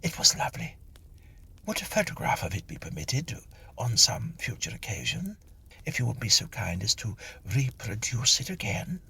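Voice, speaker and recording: A man's voice: medium pitch, very animated, normal speed, gravelly, bright, forceful tone, a little hiss or hum, voice pushed up, heavily acted. A man voicing a gushing, wheedling female spirit medium with an effusive, coaxing manner in a dramatised audiobook story.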